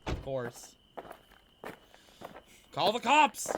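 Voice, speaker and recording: A man's voice: high-pitched, huge pitch swings, drawn-out words, a bit bright, a little hiss or hum. A young man giving casual reaction commentary as a video host, sardonic and freely swearing. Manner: sardonic, profane.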